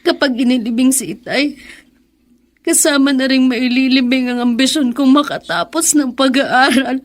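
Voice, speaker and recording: Casting: wounded young Filipino daughter, high voice, grieving and bitter, radio drama. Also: female voice, steady tone, moderate pace, no room sound. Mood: bitter anguish. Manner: emotional, tearful. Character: grieving, bitter young woman student, assault survivor.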